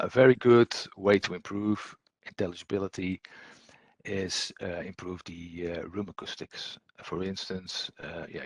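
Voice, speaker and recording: Low-voiced man, halting technical presenter. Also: breathy edge, no echo. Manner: hesitant, halting delivery with repeated words. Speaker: technical presenter, audio trainer. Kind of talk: explanatory slide presentation, unscripted.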